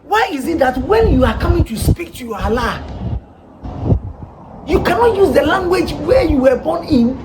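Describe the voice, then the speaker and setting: A Ghanaian woman preaches in a high voice, pressing her listeners with question after question and a teasing laugh. The recording carries clear background noise behind her. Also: big pitch swings, normal speed. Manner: rhetorical, repeated questions with laughs. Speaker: street preacher. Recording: noisy ambient background.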